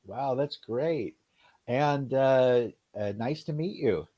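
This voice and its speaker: A man's voice: mid-range voice, takes it slowly, mellow, dry recording, highs cut off. American male tutor asking rambling questions. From Los Angeles. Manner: asks long, stacked, loosely worded questions. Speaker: English tutor. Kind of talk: casual tutoring conversation. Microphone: video call.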